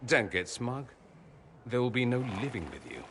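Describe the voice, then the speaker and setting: An arch witty mage for a video game, eloquent, really animated, echoing big hall. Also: male voice, mid-range voice, normal speed, a little hiss or hum. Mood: smug, teasing. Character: witty, theatrical, self-assured male mage scholar from abroad. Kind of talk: video game cutscene acting. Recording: long hall reverb.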